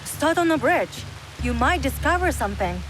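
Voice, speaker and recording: An actress playing a composed, careful female advisor in a video game, measured and informative. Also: sits high, big pitch swings, poor audio. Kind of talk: video game cutscene acting. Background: music.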